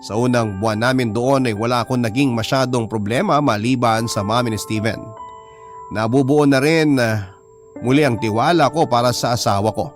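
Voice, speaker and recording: Casting: male radio drama narrator, mid-pitched voice, reading a letter with music underneath. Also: moderate pace.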